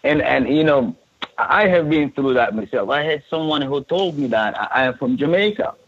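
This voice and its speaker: A man's voice: mid-range voice, lively intonation, normal speed, a bit bright, muffled recording, slight background noise. A man from Sudan who coordinates refugee support services speaks earnestly in a podcast interview, often restarting and repeating words.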